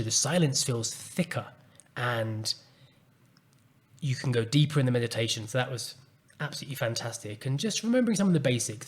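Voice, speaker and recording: Calm, earnest man telling a personal story. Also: medium pitch. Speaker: podcast host and coach. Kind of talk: reflective solo podcast talk.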